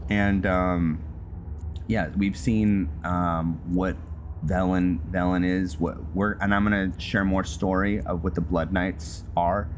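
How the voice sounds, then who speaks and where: A man doing casual video game commentary in a halting way, thinking aloud as he plays. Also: low voice, steady tone, slight background noise. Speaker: host of a video game roleplay series. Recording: music behind the voice.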